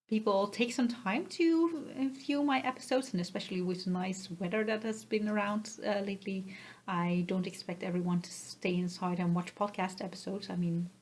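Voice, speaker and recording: A woman from the Netherlands, a native Dutch speaker with a Dutch accent, chatting casually to her podcast viewers in a halting, self-effacing way. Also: mid-range voice, a little mellow. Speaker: podcast host. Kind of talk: casual vlog chat to viewers.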